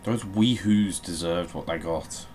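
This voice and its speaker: A man's voice: low voice, a little slow, a little mellow, a little hiss or hum. A man hosting a gaming session with casual, sweary commentary, playfully theatrical and breaking into bits of song. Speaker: gaming show host. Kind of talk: casual game commentary with bursts of singing.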